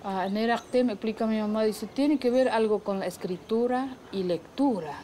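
A middle-aged woman, recalling her life conversationally and haltingly, searching for words. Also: a little high, warm tone, a little hiss or hum. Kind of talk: conversational personal recollection. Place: leafy patio, outdoors.

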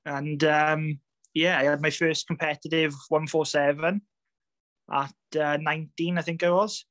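A man's voice: a little high, little pitch movement, unhurried, a bit bright, no room sound. A man in his thirties with a Welsh accent, chatting casually as a podcast guest over a video call, laughing often and filling with ums. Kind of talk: casual storytelling chat.

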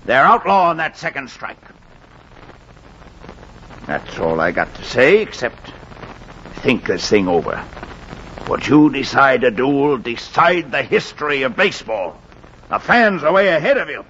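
A principled American baseball boss in an old radio play, lecturing like an orator. He is slow and forceful, with wide swings in pitch, on a dull vintage recording. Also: sing-song, slight background noise. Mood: grave, firm. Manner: emphatic, oratorical. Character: principled, forceful male baseball executive lecturing his players. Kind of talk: 1940s radio drama acting.

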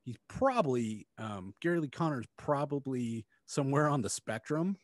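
A man's voice: medium pitch, big pitch swings, moderate pace, dry recording, clean studio sound. A man in his forties co-hosting a casual review podcast, talking bluntly and critically with plenty of swearing. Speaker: podcast co-host. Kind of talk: casual book review chat. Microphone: close studio mic on stand, headphones.

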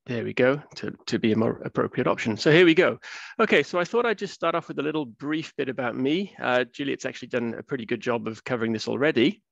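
Man from Wales, 30s, relaxed lecturer, gravelly, dull dry webinar audio. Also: mid-range voice, quick pace, no room sound. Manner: relaxed, self-deprecating, many "uh" fillers. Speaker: research fellow giving a public talk. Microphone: computer mic on video call.

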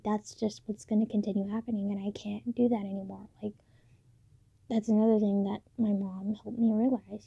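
A teenage woman talking softly late at night in her bedroom, halting with many fillers, recorded on a built-in mic. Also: medium pitch, gravelly, breathy edge, no echo. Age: late teens. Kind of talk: late-night personal vlog. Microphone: phone or camera built-in mic, close. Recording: dull lo-fi built-in mic, dry.

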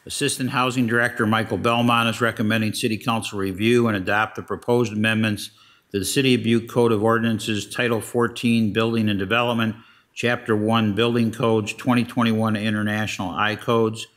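Flat low-voiced man reading formally. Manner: formal, measured, reads from text. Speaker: city manager. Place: council chamber.

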